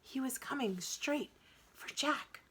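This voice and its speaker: A woman reading a children's adventure story aloud in an expressive, dramatic narrating style. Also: high voice, slow pace, slight background noise. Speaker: storybook reader.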